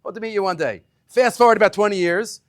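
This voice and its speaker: A middle-aged man, a rabbi giving an animated yeshiva talk, acting out dialogue and mixing Hebrew terms into English. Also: fast talker. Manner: animated, acts out dialogue with big pitch swings. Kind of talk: animated Torah lecture to students. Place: yeshiva classroom.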